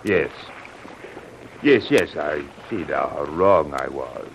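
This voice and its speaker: An actor playing a probing, suspicious and courteous ship passenger in a theatrical, formal 1930s radio serial style. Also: male voice, a bass voice, expressive, takes it slowly, heavily acted. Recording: noisy band-limited old radio recording.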